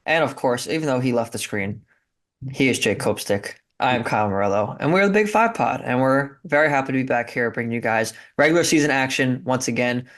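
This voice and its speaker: A man's voice: medium pitch, expressive, a little fast, fairly bright tone. A man hosts a college basketball podcast with upbeat, casual energy. He keeps the show moving and sets up his co-host. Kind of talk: casual sports talk.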